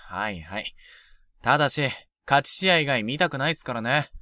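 Man acting cheeky, animated younger schoolboy. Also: mid-range voice, moderate pace, no echo, clean studio sound. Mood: flippant. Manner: flippant, teasing, casual junior-to-senior speech. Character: cheeky, cocky younger high-school boy hiding hurt. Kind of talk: Japanese youth romantic-comedy voice drama.

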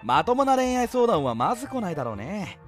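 A woman playing an easygoing, scheming older high school boy, breezy and glib, in a youth comedy audio drama. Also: sing-song, brisk, close to an everyday voice. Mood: glib, upbeat. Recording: light music behind.

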